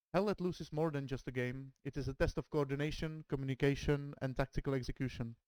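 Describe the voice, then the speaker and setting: Czech man calling a competitive match, deliberate non-native English, clear voice, dry close sound. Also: a little high, a little mellow, no room sound, poor audio. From Czech Republic. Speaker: esports match commentator. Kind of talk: streamed game commentary, reading a dramatic intro and team roster.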